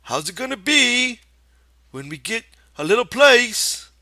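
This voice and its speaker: Big simple childlike man from an audiobook, way high pitched n loud, eager and begging. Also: big pitch swings, a little slow, no room sound, exaggerated voice. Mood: eager, excited. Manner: eager pleading, simple rustic speech.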